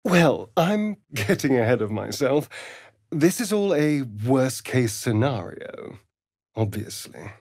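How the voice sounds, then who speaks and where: A man's voice: mid-range voice, big pitch swings, slow pace, a little mellow, slightly rough, no room sound, studio quality, full character voice. A man playing a sly, vain, sardonic vampire rogue in a fantasy video game, with an arch, theatrical drawl. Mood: wry, casual.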